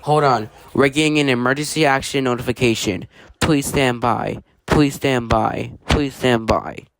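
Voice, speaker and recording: An amateur announcer reads mock weather and emergency alerts in a mid-pitched voice. The delivery is halting, with stumbles and repeated phrases. There is some faint noise behind the voice.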